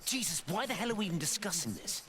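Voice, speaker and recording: A man's voice: sits high, sing-song, fast, crowd noise. An actor playing a cutting, condescending male scientist in a video game. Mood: irritated, scornful. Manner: sarcastic, condescending.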